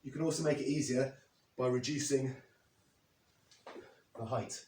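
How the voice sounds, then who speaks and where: Croaky man coaching a workout. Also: mid-range voice, steady tone, measured pace, dark tone, slight background noise. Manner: plain, step-by-step coaching while demonstrating moves. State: hoarse, croaky after losing voice. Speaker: fitness coach. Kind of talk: instructional workout demo. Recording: echoey, from a distance.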